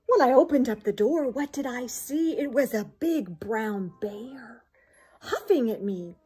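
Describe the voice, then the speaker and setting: A woman, reading a picture book to children in a dramatic, expressive way. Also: fairly high pitch, unhurried, husky, faint music behind. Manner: dramatic, theatrical. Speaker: volunteer storyteller. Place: small indoor room with bookshelves.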